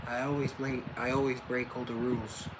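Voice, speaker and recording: A man doing an impression of a gloomy, deadpan goth girl, speaking flat and quiet. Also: mid-range voice, brisk, noisy background, close to an everyday voice. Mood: brooding.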